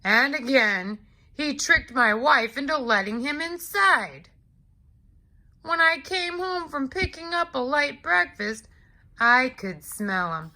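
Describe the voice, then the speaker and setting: A woman's voice: high voice, expressive, bright, forceful tone, voice pushed up, full character voice. A woman voicing a big, hungry male giant who tells his side of a storybook tale in an aggrieved, exclamatory way. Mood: aggrieved, sly. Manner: exclamatory, indignant, deliberate storyteller. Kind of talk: expressive children's storybook read-aloud.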